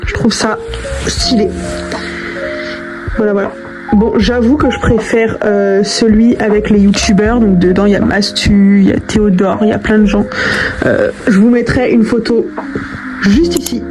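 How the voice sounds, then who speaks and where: A woman's voice: medium pitch, fairly even intonation, normal speed, long pauses, over music, low-quality recording. A young adult woman chatting casually in slangy, informal language, thinking aloud as she crafts. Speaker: online video creator. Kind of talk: casual chat while crafting.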